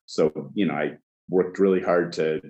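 A man from Egypt, a chef, telling his backstory in a casual interview, halting with many fillers. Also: sits low, steady tone, darkish tone, lo-fi sound. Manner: halting, many fillers like "you know", laughs often. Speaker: chef, guest.

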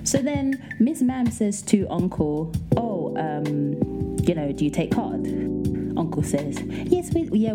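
A woman with a light, soft voice, hosting a podcast and telling a story in casual, playful chat. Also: lowish voice. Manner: animated, playful, breaks into singing and laughter. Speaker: podcast host.

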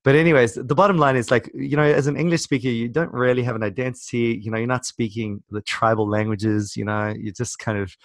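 A man's voice: mid-range voice, level tone, a little fast, hardly any pauses, no echo, clean studio sound. A South African-born man with an American-sounding accent, co-hosting a casual chat and rambling with lots of fillers and teasing. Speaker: podcast co-host.

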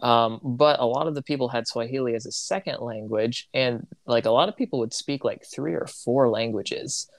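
Man raised in Tanzania, missionary; reflective, self-correcting delivery, average pitch, dry close recording. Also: moderate pace, few pauses, no echo. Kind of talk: casual conversation.

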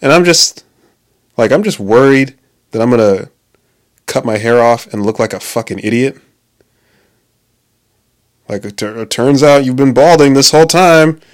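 A man's voice: medium pitch, expressive, moderate pace, pauses often. A man in his late twenties talking in a casual, rambling monologue, swearing often, restarting his sentences and laughing at himself. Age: 20s. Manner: profane, self-interrupting, laughs often.

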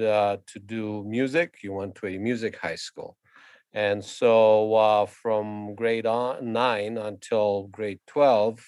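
A man in his fifties talks through his answers in a lowish voice, stopping and restarting often with filler sounds. The recording is dry and close, with no room sound. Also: a little mellow, dry recording. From Romania. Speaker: guest violinist and conductor. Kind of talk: interview answers.

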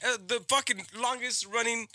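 A man's voice: very high-pitched, fairly even intonation, moderate pace, bright, forceful tone, no echo, clean studio sound. A man co-hosting a podcast, teasing and joking in casual banter, swearing often. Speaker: podcast co-host.

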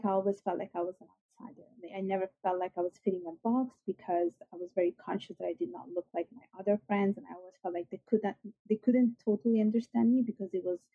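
A young woman with an Italian accent speaks earnestly, full of fillers, her voice slightly husky. The sound is dry and tinny, like a call over a phone line. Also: mid-range voice, no echo. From Italy. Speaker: guest. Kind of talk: interview.

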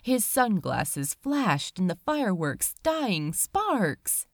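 Female amateur audiobook reader, lively melodic delivery, unhurried dramatic pauses, dry close sound. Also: medium pitch, no echo. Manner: measured, expressive storytelling with dramatic pauses. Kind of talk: dramatic scripted narration of fan fiction.

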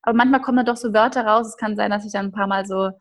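A woman's voice: mid-range voice, steady tone, very fast. A young woman speaking standard German, a ballet dancer chatting cheerfully as a podcast guest, with many fillers. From Austria, raised in Upper Bavaria. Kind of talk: casual podcast interview.

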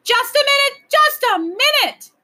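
Woman putting on an excitable, regal male storybook creature. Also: high voice, normal speed, heavily acted. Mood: excited, insistent. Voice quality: lisping. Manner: grand, pleading, insistent. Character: excitable, lonely, imperious magical royal creature, male, antlered.

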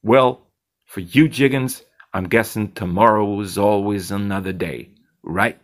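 A man's voice: fairly low pitch, measured pace, lightly acted. A man voicing a slick, blunt male bartender who talks in a teasing, lecturing way.

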